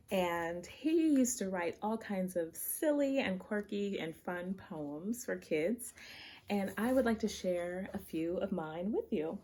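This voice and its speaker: A woman reads aloud for children in the manner of a teacher. Her voice sits at a medium pitch and moves up and down in an animated, expressive way. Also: slight background noise.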